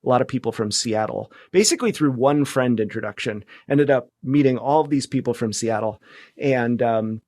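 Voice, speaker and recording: A man's voice: medium pitch, normal speed, darkish tone, no room sound, clean studio sound. A man talking casually as a podcast guest, phrasing things tentatively, trailing off and rephrasing as he goes. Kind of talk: casual conversational interview.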